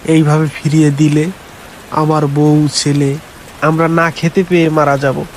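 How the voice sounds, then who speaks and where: A man plays a village farmer and father in a cartoon folk tale. His voice sits on the high side and carries a worried, plaintive lament. There is faint rain and hiss behind it. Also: flat delivery, measured pace, natural voice, poor audio. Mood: pleading. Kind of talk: animated folk tale acting.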